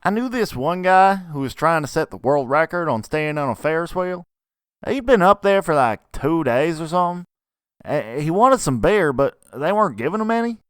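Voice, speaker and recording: A man puts on a folksy young Southern US guy from a video game. He rambles excitedly, pitched high and swinging up and down. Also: moderate pace, clear, resonant tone, no echo, studio quality, lightly acted. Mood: gleeful. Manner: rambling, chuckly yarn-spinning.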